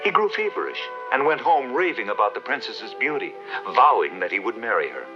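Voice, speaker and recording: A man's voice: mid-range voice, sing-song, fairly quick. A man narrating a fairy tale as the scripted storyteller of a 1970s cartoon. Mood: storytelling. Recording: dull old TV soundtrack, music behind.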